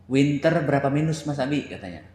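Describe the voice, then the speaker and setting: Indonesian male moderator, mid-pitched, jovial and teasing, casual Q&A in an echoey room. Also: lively intonation, darkish tone. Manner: jovial, teasing, quick to chuckle. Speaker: moderator of a tour briefing. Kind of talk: casual group Q&A.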